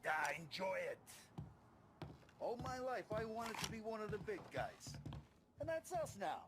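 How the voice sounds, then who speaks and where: An actor in a video game playing a proud, wistful American gangster, talking reflectively in street slang. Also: male voice, high voice, very animated, measured pace. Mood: elated, wistful. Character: wistful, proud American gangster, savoring success with his pal. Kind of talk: cinematic video game acting.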